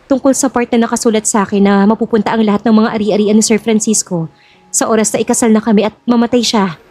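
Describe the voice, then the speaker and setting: A woman playing a mellow, earnest young bride-to-be. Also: on the high side, fairly even intonation, fast, lightly acted. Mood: worried, confiding. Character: Jasmine, worried young bride-to-be of a rich old man. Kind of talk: Filipino audio drama acting.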